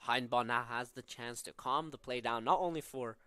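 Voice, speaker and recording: Young norwegian guy doing soccer commentary, smooth clear voice, dry mic, lots of tactical talk and uhs. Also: mid-range voice, moderate pace, pushed and bright, dry recording. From southern Norway. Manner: analytical, tactical, with many fillers. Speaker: play-by-play commentator. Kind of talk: live college soccer commentary.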